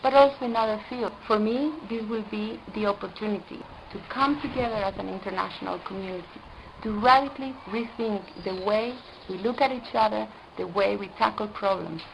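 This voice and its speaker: A woman from Costa Rica, an environmental specialist, speaking in an earnest, deliberate way and stressing key words. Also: medium pitch, creaky, a little hiss or hum, rough recording. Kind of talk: prepared video statement.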